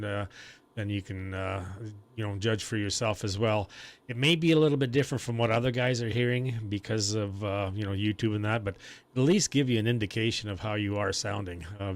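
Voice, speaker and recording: A man's voice: lowish voice, a little fast. A man who runs a home ham radio station, chatting casually and explaining things in long, loose sentences. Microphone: desk mic on a foot switch.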